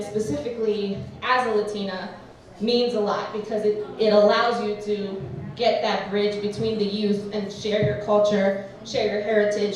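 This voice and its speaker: A woman's voice: mid-range voice, darkish tone. A woman educator sharing a reflective personal story at a live event, speaking earnestly. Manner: earnest, reflective, ends with playful humor. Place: event hall with audience. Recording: echoey hall, mic far off.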